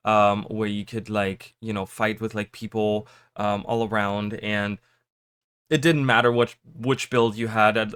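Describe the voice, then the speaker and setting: A man talking casually to his viewers, rambling with lots of fillers and restarts, his voice a little raspy from just waking up. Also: on the low side, a bit bright, dry recording. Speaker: gaming YouTuber. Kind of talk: casual chat to camera.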